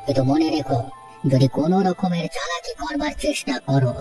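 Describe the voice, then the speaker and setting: A woman's voice: deep voice, very animated, brisk, dark tone, distant mic. A woman voicing a stern, suspicious old village man in a cartoon, questioning and admonishing. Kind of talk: cartoon drama voice acting. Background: music.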